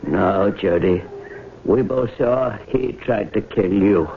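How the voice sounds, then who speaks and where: Calm country lawyer, low pitch, reassuring, measured, old radio drama, dull sound. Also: male voice, creaky. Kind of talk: 1970s radio drama acting. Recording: muffled old radio recording, faint noise.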